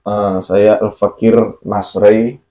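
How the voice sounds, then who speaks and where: A man's voice: lowish voice, little pitch movement, slow pace, warm tone, a little hiss or hum. A man talking in a casual religious chat, blunt and preachy, laughing often. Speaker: content creator.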